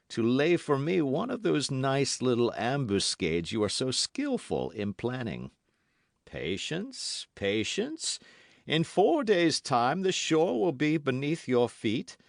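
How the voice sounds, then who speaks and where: A man voicing a vengeful nobleman who speaks loudly and with scorn. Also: mid-range voice, creaky, no room sound, studio quality, voice pushed up. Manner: scornful and loud.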